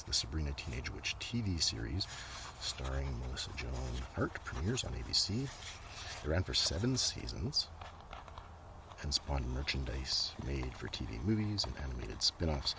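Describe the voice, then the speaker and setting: A man speaking in a soft, casual ASMR ramble that often slips toward a whisper, with unhurried murmured asides. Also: a bass voice, very animated, gravelly. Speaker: host of a comics collection ASMR video. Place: outdoors. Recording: noisy outdoor recording with breeze. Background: breeze, page flipping, ambient noise.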